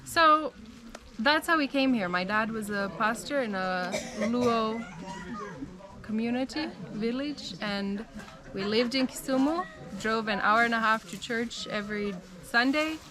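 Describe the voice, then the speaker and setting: A young American woman telling her life story in a relaxed, chatty way, with frequent "um" and "like". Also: on the high side, a little slow, a crowd in the background. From United States. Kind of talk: casual storytelling.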